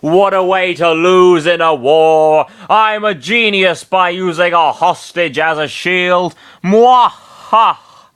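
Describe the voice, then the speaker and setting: A man's voice: on the high side, animated, takes it slowly, a bit bright, clean tone, voice pushed up. A man voicing a pompous, scheming villainous commander who makes menacing threats and laughs maniacally.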